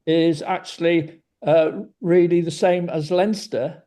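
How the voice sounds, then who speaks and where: A man with a Welsh accent and Welsh as his first language asks a question over a video call. His voice sits a little high for a man yet sounds mellow and warm. He speaks haltingly, filling gaps with uhs and ums. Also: fairly even intonation. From Wales. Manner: hesitant, with many uhs and ums. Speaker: audience member asking a question.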